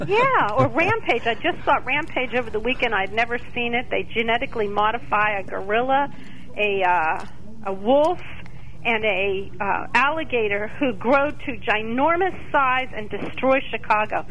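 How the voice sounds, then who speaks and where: A woman with a somewhat high voice calls in to a talk radio show. She speaks casually and frankly, in an outspoken, conversational manner. There is faint noise on her phone line. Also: expressive, normal speed, a bit bright.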